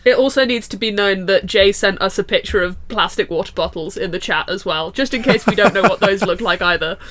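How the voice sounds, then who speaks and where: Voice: mid-range voice, brisk, fairly bright tone. A podcast co-host talking in a casual, conversational way. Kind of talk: casual chat.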